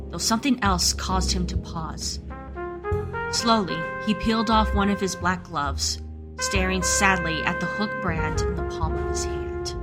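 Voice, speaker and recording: Woman narrating a radio play over music. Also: fairly low pitch. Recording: music playing behind the voice.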